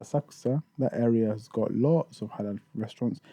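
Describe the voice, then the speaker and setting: A man's voice: fairly low pitch, brisk, dark tone, husky, dry recording. A man raised in the UK, talking casually as a podcast guest about touring in Japan, with many restarts and fillers. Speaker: guest, Japan tour organizer.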